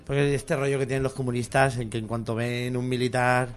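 Man, mid pitch, comedian guest, wry, chuckling, casual talk. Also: flat delivery, brisk. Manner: wry, chuckling asides, restarts sentences. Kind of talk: casual comedy radio chat.

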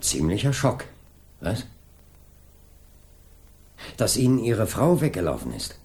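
An actor playing a calm, methodical police inspector in a radio crime drama, questioning in a measured, insistent way. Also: male voice, on the low side, soft background music. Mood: measured, probing. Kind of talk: 1980s German radio crime drama acting.

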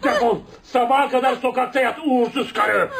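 An actor playing a rough, hot-tempered but loyal tavern brawler with a gruff, blunt way of talking in a radio play. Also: male voice, extremely high pitch, fairly even intonation, brisk, very noisy. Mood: raging. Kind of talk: Turkish radio theatre acting.

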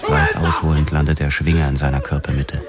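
An actor playing a haunted, hard-boiled 1940s police officer who narrates a crime radio drama. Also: male voice, rumbling low, flat delivery, brisk. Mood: haunted, grim. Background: prominent music.

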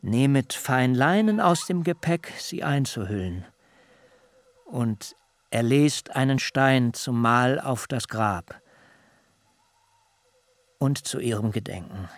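An actor playing a grieving patriarch in a literary radio drama, solemn and halting as he orders his wife's burial. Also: medium pitch, animated, no room sound. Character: grieving patriarch husband burying his wife.

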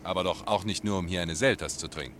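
An actor playing a flirtatious, self-important stage player in a German radio play, teasing and grandiloquent. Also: male voice, sits low, fast, a bit bright, slight background noise.

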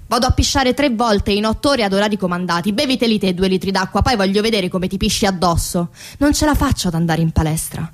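Actress as a wry, worn-out factory worker. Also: female voice, on the high side, close to an everyday voice. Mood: angry, exhausted. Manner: ironic, emphatic repetitions. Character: exhausted, bitterly ironic woman factory worker. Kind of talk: radio theatre monologue.

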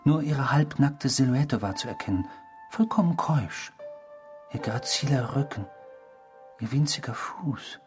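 A man's voice: mid-range voice, animated, unhurried, natural voice. Man acting an obsessive, intrusive stranger over music. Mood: brooding, reminiscing. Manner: insistent, theatrical, probing, swinging from hushed to emphatic. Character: intrusive, obsessive male stranger who torments with words. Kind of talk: German radio drama acting.

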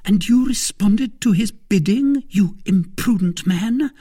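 An indignant, sharp-tongued young wife played by a man from a period adventure audiobook. The voice is pushed high and swoops expressively, with a husky edge. She scolds in haughty exclamations. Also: lively intonation, takes it slowly, exaggerated voice. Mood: alarmed, incredulous.

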